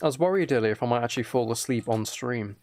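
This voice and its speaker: A very tired man chatting casually and quietly on a game livestream, rambling and slightly mumbled in a soft ASMR manner. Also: medium pitch, fast talker, a little hiss or hum. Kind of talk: casual gaming stream chat, ASMR.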